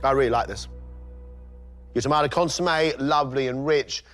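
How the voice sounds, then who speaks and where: A man judges a cooking competition, his mid-pitched voice explaining each dish with plain enthusiasm. Soft music plays behind him. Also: normal speed. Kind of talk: judging commentary.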